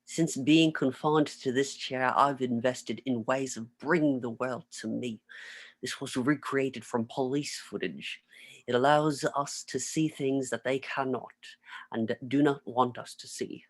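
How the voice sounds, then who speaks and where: A man's voice: medium pitch, steady tone, unhurried, lightly acted. A man reading the part of an earnest older tycoon in a wheelchair at a script table read. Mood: grave, explanatory. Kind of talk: video-call table read of film script.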